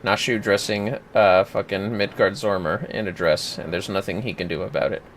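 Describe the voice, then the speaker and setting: A man's voice: on the low side, steady tone, normal speed, slight background noise. A man chatting casually on a game livestream, joking in a playful, sweary way. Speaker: game streamer.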